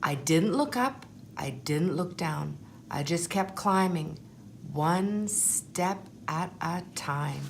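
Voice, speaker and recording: A woman voicing a humble, anxious but determined egg telling his life story in a storybook, in an earnest, measured way. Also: lowish voice, expressive, gravelly, noisy background, full character voice. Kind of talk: storybook first-person narration.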